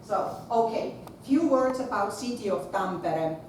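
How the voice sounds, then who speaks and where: A middle-aged woman with a Finnish accent, a school principal giving a talk in a large lecture hall without a microphone, projecting her voice in a lively, chatty way. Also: mid-range voice, a little slow. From Finland. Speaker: conference speaker, school principal. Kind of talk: presentation to an audience. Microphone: far camera. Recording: echoey lecture hall from far off, noisy.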